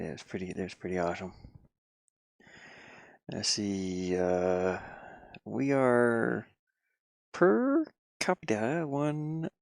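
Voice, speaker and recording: Male game streamer, low gravelly voice, loose rambling chat, close and dry. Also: no echo. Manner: rambling, mutters to himself while working. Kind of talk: casual live-stream chat.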